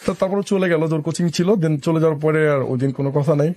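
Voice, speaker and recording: A young man with a Bangladeshi accent telling a personal story casually as a guest, halting and colloquial, mixing in English words. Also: on the high side, steady tone, warm tone. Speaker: interview guest.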